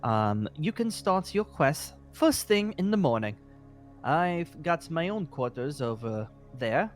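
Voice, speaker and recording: Man playing halting, lively guild master mage. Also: fairly high pitch, soft background music. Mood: genial, modest. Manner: hesitant, halting, polite. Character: genial, rambling male guild master mage, cheerful and polite. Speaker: guild master character in roleplay series. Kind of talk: improvised Minecraft roleplay acting.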